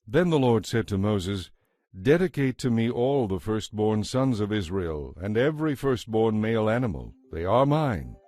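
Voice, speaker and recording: Casting: male narrator for scripture, lower register, measured and solemn, close dry studio sound. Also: big pitch swings, darkish tone, no room sound. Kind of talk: scripted narration, dramatized Bible reading.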